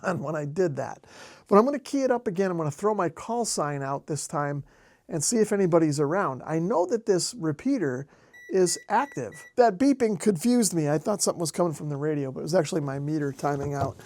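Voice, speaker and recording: A man's voice: a little high, lively intonation, fast talker, a little mellow, dry recording, studio quality. An American man casually narrating a hands-on hobby demonstration as host. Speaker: host, amateur radio hobbyist.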